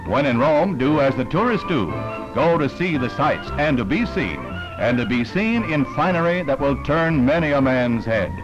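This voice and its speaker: A man's voice: medium pitch, very animated, normal speed, highs cut off. An American man reading scripted narration in the style of a 1960s newsreel. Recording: band-limited vintage newsreel film, loud music bed. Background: music.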